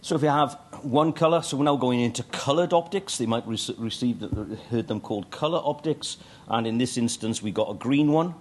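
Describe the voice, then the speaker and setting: A man's voice: medium pitch, a little fast, hardly any pauses. A middle-aged man presenting a technical talk at a conference, with dry humour and frequent ums and uhs. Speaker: conference speaker. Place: conference hall stage.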